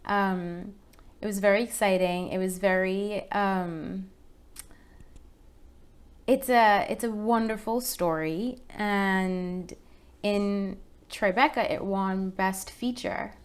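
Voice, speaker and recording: A woman from Trinidad talks about her work in a relaxed, chatty way. Her voice is smooth and clear at a middle pitch. She often stops and restarts her sentences. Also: slow pace. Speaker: actress guest. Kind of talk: casual interview chat.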